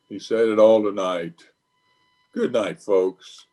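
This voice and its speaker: An actor playing a blustery, pompous, scheming middle-aged water commissioner, hammy and exclamatory, in an old-time radio comedy. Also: male voice, lowish voice, slow, mellow, soft background music. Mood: rueful, avuncular.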